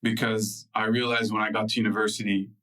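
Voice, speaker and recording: A man's voice: lowish voice, flat delivery, a little fast, fairly bright tone, recorded from a distance, low-quality recording. A man in his thirties with an American accent, a guest in an interview, telling stories about his past in a loose way with lots of fillers and restarts. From United States. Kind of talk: relaxed interview storytelling.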